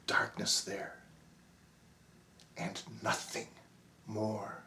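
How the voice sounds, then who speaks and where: A man giving a dramatic, expressive poetry recitation, swinging between hushed near-whispers and agitated outbursts. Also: on the low side, drawn-out words, creaky, a little hiss or hum.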